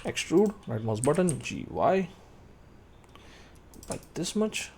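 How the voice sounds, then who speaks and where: A man's voice: fairly high pitch, very animated, slow pace, gravelly, darkish tone, husky. A man in his thirties teaching 3D modeling, thinking aloud as he works and calling out keyboard shortcuts. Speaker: 3D modeling tutor. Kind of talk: screen-recorded software tutorial.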